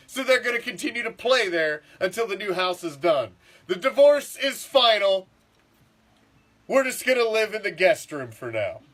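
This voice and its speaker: A middle-aged man, congested and rough-throated from the flu, ranting casually as a sports podcast host, swearing and repeating himself. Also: high voice, pushed and bright. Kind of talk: profane casual sports rant.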